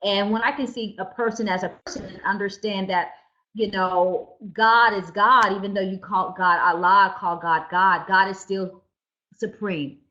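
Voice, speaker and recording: A woman's voice: medium pitch, fairly even intonation, unhurried. A middle-aged woman minister interviewing a guest over a video call, asking careful questions with restarts. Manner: warm, searching questions with restarts. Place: indoors, room with red wall. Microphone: video call webcam. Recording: slightly dull lo-fi webcam audio.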